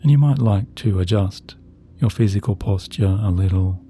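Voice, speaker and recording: A man narrating a sleep meditation in a soft, gentle, soothing voice with slow, measured phrasing. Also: fairly low pitch, a little hiss or hum. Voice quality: soft, very dark and mellow. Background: relaxing background music.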